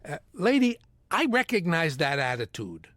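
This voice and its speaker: An excitable retired New mobster played by a man in a podcast comedy. The delivery is blunt and very animated in pitch. The recording is dry and close. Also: normal speed, no echo. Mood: exasperated. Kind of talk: comedy audio drama acting.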